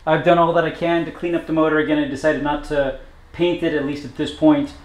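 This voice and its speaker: A man working on a motorcycle in his home garage, talking through the job in a relaxed, matter-of-fact way with frequent pauses. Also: fairly high pitch. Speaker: motorcycle builder vlogger. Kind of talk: casual workshop narration. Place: hot home garage with a fan running. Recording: echoey garage, faint fan noise. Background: fan noise.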